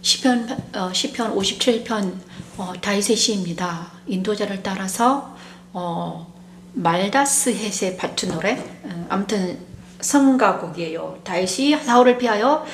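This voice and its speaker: A woman's voice: mid-range voice, measured pace. Woman pastor with a sore throat, roomy echo. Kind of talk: devotional Bible exposition, informal.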